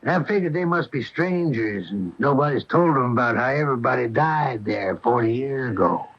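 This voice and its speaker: A hopeful small-town American prospector in a 1980 radio play. He is medium-pitched and easygoing, with a folksy, questioning delivery, heard through a dull old broadcast. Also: animated, moderate pace. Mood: curious, insistent. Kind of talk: 1980 radio drama acting.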